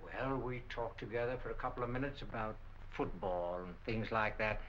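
Actor as hesitant older man, bright-voiced. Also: lowish voice, lightly acted. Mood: mild, polite. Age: 60s. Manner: hesitant, with many pauses. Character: mild, hesitant older male witness. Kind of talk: 1940s film acting. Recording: dull, noisy 1940 film soundtrack.

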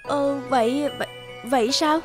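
A woman's voice: sits high, normal speed, close to an everyday voice. A woman voicing an awkward, hesitant, educated single woman in her forties in a cartoon. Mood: hesitant, dismayed. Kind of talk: cartoon voice acting. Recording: music behind the voice.

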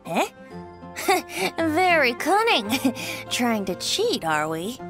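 A woman's voice: high voice, huge pitch swings, very slow, music in the background, full character voice. A woman voicing a carefree, teasing young male bard in a video game, light and boyish with a lilting delivery. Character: playful, carefree youthful male bard, secretly wise. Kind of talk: video game character acting.

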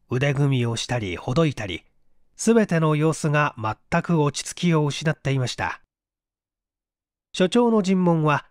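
A male audiobook narrator reads a literary mystery with expressive, wide-ranging intonation. His voice sits fairly high for a man, and the sound is close and dry. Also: no room sound. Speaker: audiobook narrator, stage actor. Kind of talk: scripted mystery story narration.

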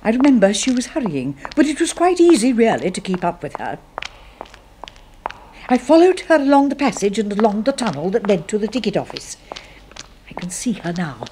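An actress with an English accent playing an obsessive, murderous secretary in a 1970s radio horror drama, intense and easily flustered. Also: female voice, medium pitch, a little mellow, slight background noise, natural voice. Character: obsessive, murderous spinster secretary, timid before her boss.

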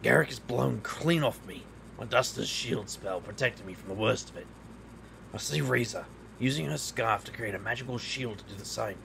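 Gravelly, wisecracking street magician. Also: male voice, medium pitch, normal speed, fairly bright tone, slight background noise. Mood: tense narration. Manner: taunting, sardonic, flippant. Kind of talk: audio drama acting and first-person narration.

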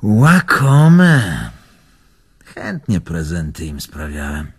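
An older, wistful bon vivant of a radio play, reminisces in a husky, gravelly voice. He takes his time, sighing and swooping expansively through his memories. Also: sits low, big pitch swings, very slow, exaggerated voice. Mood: amused, wistful. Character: nostalgic old bon vivant, former nightclub owner. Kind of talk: radio drama acting.

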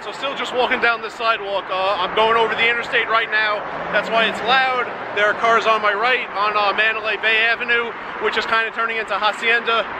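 A man in his thirties giving casual step-by-step walking directions, filmed on a handheld camera held at arm's length. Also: sits high. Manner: plain, instructive, pointing the way while walking. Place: indoor casino halls and an outdoor sidewalk by a highway. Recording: traffic noise behind.

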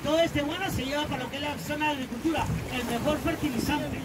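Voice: high-pitched, fast talker, recorded from a distance. A tour guide with a Peruvian accent giving live, explanatory commentary outdoors on an open boat. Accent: Peruvian Spanish. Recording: loud boat motor noise, crowded open-air recording. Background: motorboat engine, people around.

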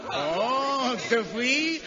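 An actor playing a snobbish, indignant conservative critic with a pompous, scornful manner in a 1960s radio drama. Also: male voice, extremely high pitch, very slow, full character voice. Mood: scornful outrage. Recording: muffled old radio recording, hall echo, crowd.

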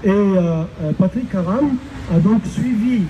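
An older man from Egypt giving an emphatic speech at an outdoor street rally into a handheld mic, often pausing on fillers. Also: high voice, warm tone, dull audio, slight background noise. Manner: emphatic, hesitant with many fillers. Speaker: rally speaker. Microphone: handheld microphone.

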